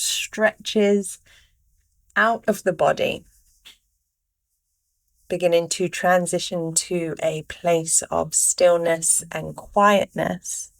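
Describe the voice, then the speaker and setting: Slow-paced woman guiding a meditation. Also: medium pitch. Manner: unhurried, pausing between short phrases. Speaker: meditation guide. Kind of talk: guided meditation, gentle spoken instructions.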